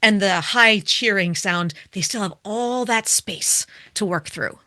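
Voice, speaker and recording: Bright, crisp woman, a speaking coach, lively and demonstrative, close dry studio mic. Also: mid-range voice, a little slow, no echo. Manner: animated, demonstrates with her voice, asks questions. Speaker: public speaking expert, guest. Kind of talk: explaining and coaching in conversation.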